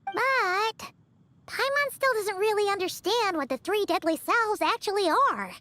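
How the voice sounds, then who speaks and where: A woman voicing a small, bubbly, childlike fairy companion in a video game, excitable and blurting, with a squeaky voice. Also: a little slow, dry recording, faint music behind, exaggerated voice. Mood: curious, puzzled. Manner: bubbly, blurting, refers to self in third person.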